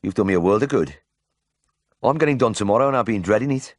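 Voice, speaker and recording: Audiobook part: naive young Brummie patient, played by a man, anxious, earnest, blunt, higher-pitched. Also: lively intonation, quick pace, dry recording, clean studio sound. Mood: relieved delight. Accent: Birmingham. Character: naive anxious young working-class lad, a hospital patient. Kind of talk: audiobook character voice.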